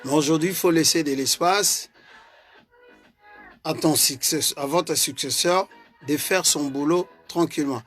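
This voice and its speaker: A man's voice: fairly high pitch, unhurried, pauses often, a bit bright, soft background music. A man with a Congolese French accent gives an earnest political commentary as a party vice-president, hesitating and repeating words as he goes. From Congo (DRC).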